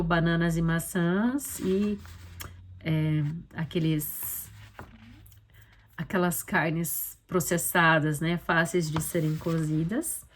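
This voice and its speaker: A Brazilian woman talking casually and reflectively as an author and podcast host, explaining things conversationally with frequent little check-in tags. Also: lowish voice. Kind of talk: casual reflective commentary on her own short story.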